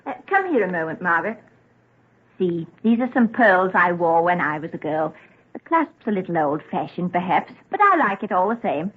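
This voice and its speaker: An actress in a vintage radio drama playing a gentle, doting mother of a grown son, genteel and fondly fussing. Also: fairly low pitch, sing-song, moderate pace, muffled recording, slight background noise. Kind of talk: 1940s radio drama acting. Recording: thin, band-limited vintage radio recording.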